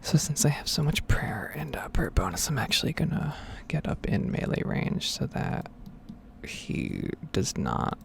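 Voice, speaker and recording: A man giving hesitant, rambling game commentary in a soft-spoken, whispered ASMR style. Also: mid-range voice, wildly swooping pitch, measured pace, gravelly. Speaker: gaming video host.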